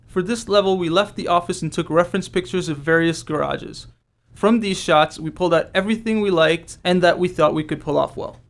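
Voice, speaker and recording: A man who works as a game developer, reading scripted commentary in an explanatory style. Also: on the high side.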